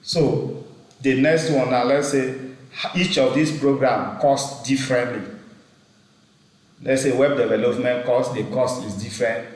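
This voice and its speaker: A man teaching a database class on a video call, speaking slowly, repeating himself and pausing to check the chat. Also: mid-range voice, steady tone, a little mellow, very echoey, rough recording. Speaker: instructor. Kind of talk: live online class teaching. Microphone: computer mic on a video call.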